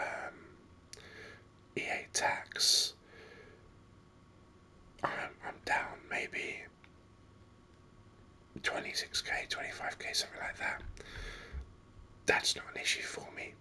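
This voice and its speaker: A gaming host speaking in an unvoiced whisper, giving unhurried, rambling ASMR game commentary. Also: sharp tone.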